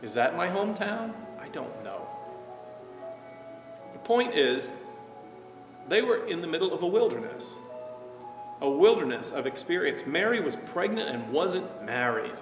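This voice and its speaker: A man in his sixties, a pastor from New Jersey, giving a reflective, conversational sermon from an armchair, sometimes dropping to a soft hush. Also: fairly high pitch, sing-song, measured pace, long pauses. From New Jersey, USA. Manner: reflective, gestures while talking, drops to a soft hush at key points. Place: living room, seated in armchair. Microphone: far camera. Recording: roomy echo, distant mic, faint music.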